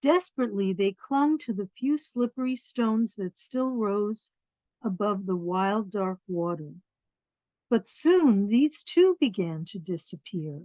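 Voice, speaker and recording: A woman reading picture books aloud to young children, expressive, pausing to explain things in simple words. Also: mid-range voice. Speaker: children's storyteller. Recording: dull, roomy lo-fi sound.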